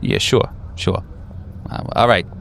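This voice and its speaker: A man playing a weary, hardened veteran handler in a tabletop roleplay, talking in a halting, offhand way that trails off. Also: fairly bright tone, slight background noise. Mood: awkward. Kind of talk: improvised tabletop roleplay dialogue.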